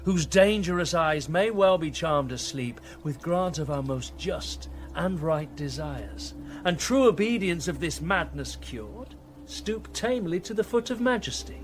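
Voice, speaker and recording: A dignified rebel archbishop, formal speeches, highish lively voice, bit of background noise. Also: male voice. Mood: grave, eloquent. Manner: formal, rhetorical, measured phrasing. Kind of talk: classical radio drama acting.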